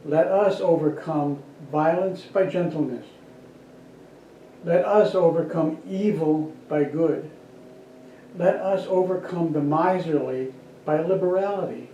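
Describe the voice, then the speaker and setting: A man reading aloud formally at a church service with measured, deliberate phrasing. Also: on the high side, warm tone. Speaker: worship service reader. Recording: dull, roomy, distant, noisy church recording.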